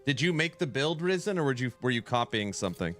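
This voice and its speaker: A man's voice: medium pitch, quick pace, soft background music. A man streaming a game, chatting casually with his viewers and answering their questions while nasal from a cold. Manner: relaxed, answers chat questions aloud. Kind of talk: casual live-stream chat.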